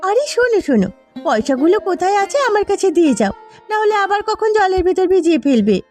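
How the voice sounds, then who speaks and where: A woman's voice: very high voice, very animated, fast, darkish tone, faint music behind. A woman voicing a proud, protective village housewife in a cartoon, nagging and emphatic as she speaks. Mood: bossy. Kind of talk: cartoon dialogue.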